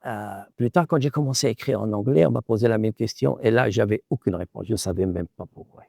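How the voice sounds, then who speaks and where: A man's voice: lowish voice, fast, gravelly, darkish tone, no room sound, clean studio sound. A middle-aged man from Morocco whose first language is Arabic, an author and professor answering interview questions in a thoughtful way, often repeating words while he searches for the phrasing.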